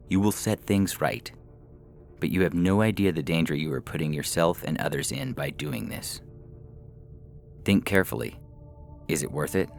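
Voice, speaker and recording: A man's voice: low voice, creaky. A man reading science fiction stories aloud as scripted narration for a podcast.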